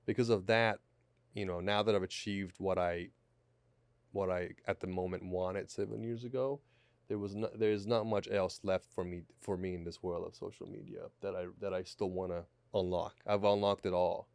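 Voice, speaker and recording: A man's voice: low-pitched, steady tone, moderate pace, vocal fry, a little mellow, clean tone, no room sound. Late-20s male guest, thoughtful, restarts phrases; close dry studio mic. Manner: reflective, repeats words as he thinks. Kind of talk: podcast conversation. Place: small studio. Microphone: studio mic on boom arm, headphones.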